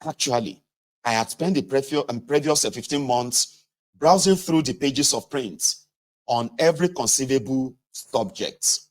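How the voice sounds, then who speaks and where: A man reading a first-person memoir aloud in measured phrases, with emphatic pauses. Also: mid-range voice, lively intonation. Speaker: reader of a political memoir.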